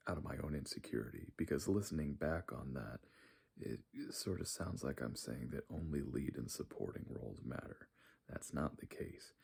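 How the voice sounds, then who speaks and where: Extremely deep, gravelly, breathy young man. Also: a little mellow. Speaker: ASMR creator. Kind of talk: soft ASMR spoken aside.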